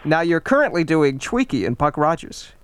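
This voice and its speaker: A man's voice: fairly high pitch, sing-song, normal speed, smooth, no echo. A man working as a radio interviewer, putting questions to a guest in a conversational way.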